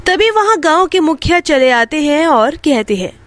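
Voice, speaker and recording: A woman's voice: high-pitched, very animated, moderate pace, dry recording. A woman storyteller reading a scripted bedtime tale as narrator.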